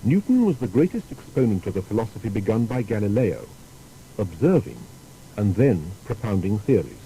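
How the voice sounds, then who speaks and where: A man narrating a scripted biographical documentary in a measured, explanatory way. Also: on the low side, sing-song, warm tone, a little hiss or hum, low-quality recording.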